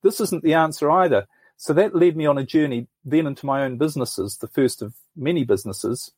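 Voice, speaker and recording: Husky new guy, middle-aged, thinking out loud telling his story, dry close mic. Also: fairly high pitch, fairly quick, no echo. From New Zealand. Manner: earnest, thoughtful storytelling with frequent restarts. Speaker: podcast guest. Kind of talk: reflective life story in an interview.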